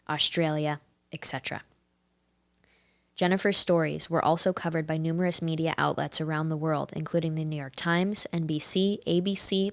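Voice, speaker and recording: A low-pitched American woman hosts a podcast, reading a guest's introduction and moving into friendly conversation. The sound is dry and close, with no room echo. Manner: upbeat, reads lists of names then chats. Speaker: podcast host. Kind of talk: reading an introduction then interviewing.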